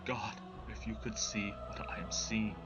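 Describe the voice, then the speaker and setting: A man voicing a terrified, despairing man calling from below in a horror story, hoarse, whispery and urgently pleading, over music. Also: fairly low pitch, level tone, a little slow, dull audio, lightly acted. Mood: hushed horror. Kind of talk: dramatic horror story reading. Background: loud background music.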